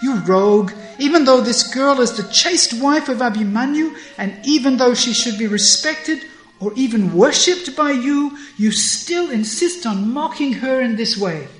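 A woman's voice: a little high, lively intonation, slow pace, reverberant, pitched above the performer's own voice, rough recording. A woman voicing an angry, protective old grandmother, scolding in formal storybook speech.

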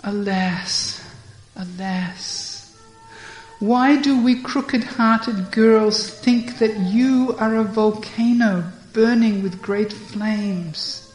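A woman voicing a distressed young cowherd girl who pleads in a raised, quick voice, in a storytelling reading. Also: darkish tone, faint music behind. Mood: longing. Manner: high, fast, pleading complaints. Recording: echoey room.

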